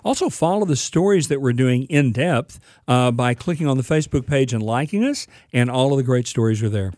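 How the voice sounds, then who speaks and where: Relaxed man hosting a car radio show. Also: medium pitch, a little fast, dry recording, studio quality. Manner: relaxed, informative, with filler uhs. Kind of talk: casual radio chat.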